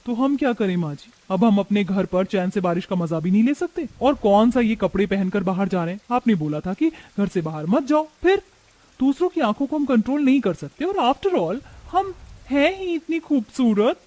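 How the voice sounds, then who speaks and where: A man acts a brazen young modern daughter-in-law in a family story. The voice is very high and theatrical, full of vanity. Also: lively intonation, moderate pace, darkish tone. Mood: defiant. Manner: defiant, theatrical.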